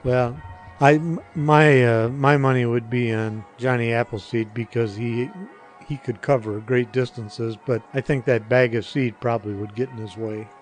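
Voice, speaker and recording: Older male podcast guest, medium pitch, halting conversational answers, light music underneath. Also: steady tone. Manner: hesitant, halting. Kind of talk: casual interview answers.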